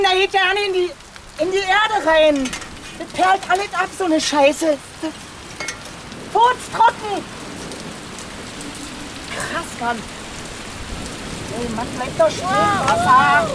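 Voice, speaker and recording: An actor playing an excitable, bossy village man in a radio drama, with a slangy way of talking. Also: very high-pitched, noisy background, heavily acted. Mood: frustrated, then coaxing, agitated. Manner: slangy, colloquial, exclamatory, swearing. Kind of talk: naturalistic radio drama dialogue.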